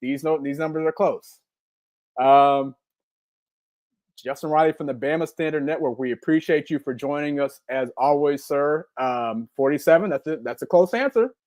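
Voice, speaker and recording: A man's voice: a little high, moderate pace, darkish tone, no echo. A man, co-hosting a live-stream podcast and reading chat comments in a rambling, upbeat way. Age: 40s. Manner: rambling and upbeat, full of ums and repeats. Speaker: podcast co-host.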